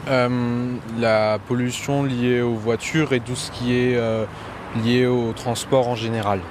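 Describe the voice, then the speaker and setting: A man answering off the cuff and haltingly in a street interview. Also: medium pitch, level tone. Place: outdoors, traffic behind. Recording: noisy outdoor street recording.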